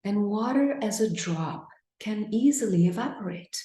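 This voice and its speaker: A woman with a Brazilian accent leading a mindfulness class on a video call, gentle and affirming. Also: medium pitch, expressive, moderate pace, reverberant. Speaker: mindfulness teacher. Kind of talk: live online class, reading chat responses.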